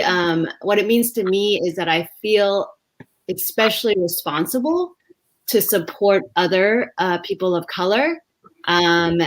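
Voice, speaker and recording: A woman from Vietnam, an education executive, answering interview questions live over a video call, thoughtful and pausing often with fillers. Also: lowish voice. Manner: reflective, many pauses and "um" fillers.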